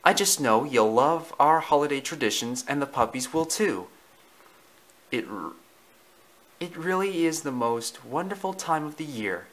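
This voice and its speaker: A man voicing an excited, gentle female dog, a loving mother, in a storybook reading. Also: on the high side, a little slow. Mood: fond, reassuring.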